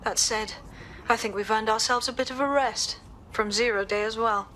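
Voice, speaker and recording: An actress playing a brisk, resolute resistance organiser in a game. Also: female voice, on the high side, fairly even intonation, bright, forceful tone, a little hiss or hum, low-quality recording. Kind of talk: game cutscene acting.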